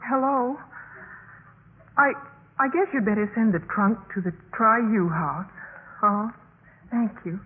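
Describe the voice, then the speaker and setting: An actress playing an earnest, emotional young American college sorority pledge in 1950s radio drama style, stammering and hesitant. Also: female voice, a little high, a little slow, pauses often, close to an everyday voice. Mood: flustered. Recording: muffled, noisy 1950s radio recording.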